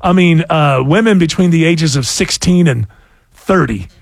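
A man's voice: normal speed, vocal fry, no room sound, clean studio sound. A man hosting a radio show, chatting casually in a rambling, self-mocking way. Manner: rambling, self-mocking, animated pitch jumps. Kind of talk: casual on-air banter.

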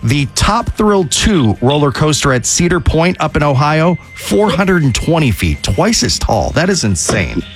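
A man hosting a radio trivia game, briskly repeating the answers and keeping score. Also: fairly high pitch, very animated, soft background music. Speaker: radio host.

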